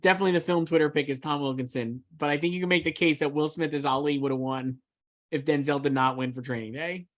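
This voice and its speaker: A man's voice: fairly high pitch, fairly even intonation, fast. A man co-hosting a casual entertainment discussion, giving emphatic, opinionated takes in an enthusiastic manner. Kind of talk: casual film awards chat.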